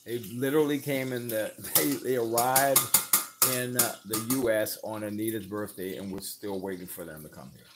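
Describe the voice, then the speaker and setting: A man's voice: fairly low pitch, normal speed, heavy background noise. A man co-hosting a home cooking livestream from off camera in a kitchen, chatting casually and reading viewer comments aloud. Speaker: co-host reading live chat.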